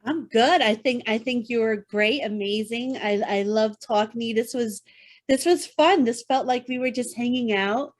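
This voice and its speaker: A woman's voice: a little high, moderate pace. A woman co-hosting a podcast, chatting casually and using many filler words. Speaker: podcast co-host.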